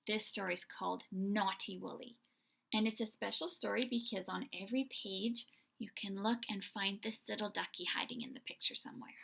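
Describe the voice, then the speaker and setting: A woman hosting a read-aloud for young children, telling the story in an expressive, deliberate way. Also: mid-range voice, gravelly, a little hiss or hum. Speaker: children's story reader and host.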